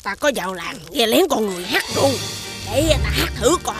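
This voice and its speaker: A woman voicing a fierce, suspicious demon mother in an animated fairy tale, with a brash, demanding manner. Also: on the high side, fairly quick. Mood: eager. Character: fierce, suspicious demon mother who sings clumsy lullabies. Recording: music behind the voice.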